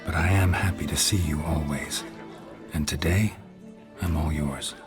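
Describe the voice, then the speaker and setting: A man playing a gruff, laconic monster hunter in a video game, dry and deadpan with some tenderness. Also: very deep voice, a little slow, music in the background, a crowd in the background. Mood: tender, reassuring. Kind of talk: video game dramatic acting.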